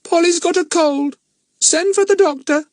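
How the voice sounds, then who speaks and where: A man's voice: extremely high pitch, moderate pace, a little mellow, no room sound, studio quality, voice pushed up. A man voicing a pet parrot that mimics stock phrases in a hollow voice. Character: shrill squawking pet parrot, gloomy mimic.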